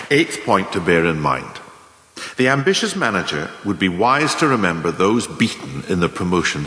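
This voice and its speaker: Casting: male actor as cynical, self-assured career mentor for radio play; formal rhetorical lecturing, highly varied intonation, heavy hall reverb. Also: pushed and bright. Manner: formal, rhetorical, deliberate emphatic phrasing. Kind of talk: scripted dramatic monologue, like a lecture of numbered lessons. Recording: very echoey, long hall-like reverb.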